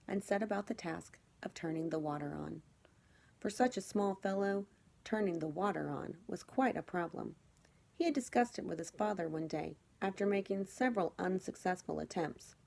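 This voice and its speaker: A woman teacher reading a children's storybook aloud, phrasing it clearly and expressively for young listeners. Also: medium pitch, darkish tone.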